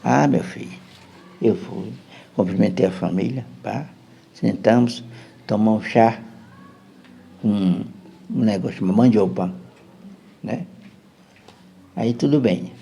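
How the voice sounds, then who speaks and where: A man's voice: lowish voice, takes it slowly, vocal fry, pauses often, warm tone, a little hiss or hum. A man with a Brazilian Portuguese accent, a podcast guest telling a long, rambling story and often checking in with his listener. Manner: chatty, keeps checking in with "né?".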